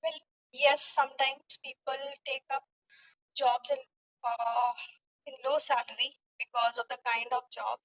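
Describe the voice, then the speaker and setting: A young woman with an Indian accent gives spoken answers as a test candidate. Her voice is high and bright, with very little melody. She speaks hesitantly, stopping mid-phrase to find the next words. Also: steady tone, measured pace, no room sound, rough recording. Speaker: student in a spoken English practice test.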